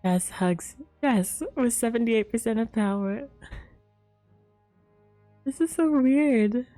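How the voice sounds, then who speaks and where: Unhurried, mellow woman live-streaming. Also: on the high side, lively intonation, soft background music. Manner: bubbly greetings, giggles often. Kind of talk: casual gaming livestream chat with viewers.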